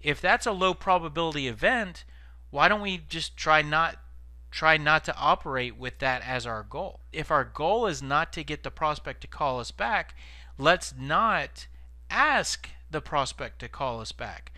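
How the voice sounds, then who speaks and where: A man's voice: fairly high pitch, lively intonation, unhurried, pushed and bright, no room sound. A man giving informal instructional tips as a sales trainer, explaining conversationally and stressing key words.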